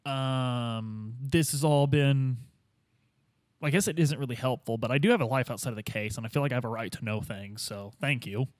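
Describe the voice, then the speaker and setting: A man with a mid-pitched voice improvises as a player in a tabletop game. He talks casually and bluntly with slangy, joking phrasing, and the recording is dry with no echo. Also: moderate pace. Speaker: player in a tabletop roleplay podcast. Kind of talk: casual improvised in-character chat.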